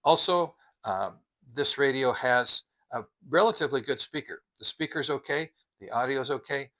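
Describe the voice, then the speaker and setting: A man's voice: medium pitch, lively intonation, normal speed, sharp tone. A middle-aged or older man giving a casual product review, explaining things in a plainspoken, methodical way.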